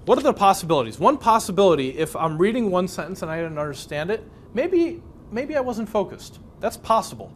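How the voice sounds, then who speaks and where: A man's voice: high-pitched, sing-song, a little fast, a little hiss or hum. Chatty man teaching a workshop. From Chicago, USA. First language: Polish. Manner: conversational, asks the audience many questions. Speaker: speed reading instructor. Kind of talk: live workshop lecture.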